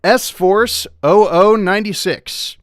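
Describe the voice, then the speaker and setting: A man hosting a live game stream, chatting casually and relaxed, with plenty of fillers. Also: sits high, drawn-out words, no echo, clean studio sound. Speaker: streamer hosting a mod playthrough.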